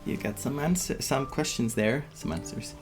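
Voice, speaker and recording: Female gaming commentator: casual, unhurried, strikingly deep register, music behind. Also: animated. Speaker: gaming streamer.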